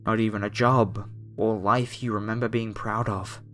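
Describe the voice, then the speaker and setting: A man's voice: on the low side, level tone, moderate pace, a bit bright, close to an everyday voice. A man playing a polite, apologetic masked cultist, a former music director, in a horror ASMR roleplay addressed to the listener. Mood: calm, reverent menace.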